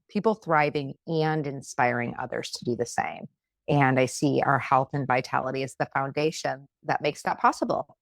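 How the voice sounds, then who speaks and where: Woman functional medicine doctor, guest, low voice, measured, emphatic phrasing, dry close recording. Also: creaky, no room sound. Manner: deliberate, emphatic, slows down on key words. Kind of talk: podcast interview.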